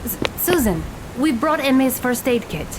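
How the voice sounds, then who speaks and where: A woman's voice: high voice, sing-song, moderate pace, heavy background noise. A woman playing a grumpy, dry-witted teenage girl who speaks bluntly in an audio drama. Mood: worried.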